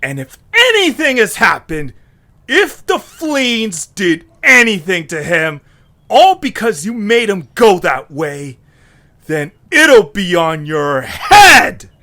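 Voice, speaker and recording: Guy doing an exasperated young creature hero in a hobby audio drama, bright and all over the place. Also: high-pitched, sing-song, takes it slowly, voice pushed up, full character voice. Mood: furious. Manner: strident, exasperated outbursts. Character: indignant young male Zoombini, overwrought and earnest. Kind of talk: amateur audio drama acting.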